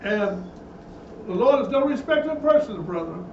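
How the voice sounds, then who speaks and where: A man's voice: sits high, lively intonation, moderate pace, vocal fry. A man preaching a Bible teaching sermon as a minister, emphatic and repetitive, building in intensity. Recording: echoey, distant, dull room sound with noise.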